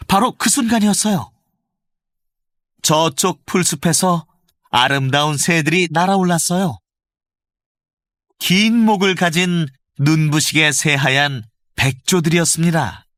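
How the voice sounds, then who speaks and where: A male voice actor narrating a children's fairy tale with gentle, expressive storytelling, recorded close in a studio. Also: on the high side, unhurried, long pauses, dry recording.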